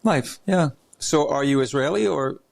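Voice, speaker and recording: A man's voice: fairly high pitch, measured pace, vocal fry. A man hosting an interview podcast, telling rambling stories in a chatty way with many restarts. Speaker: podcast host. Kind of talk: casual interview chat.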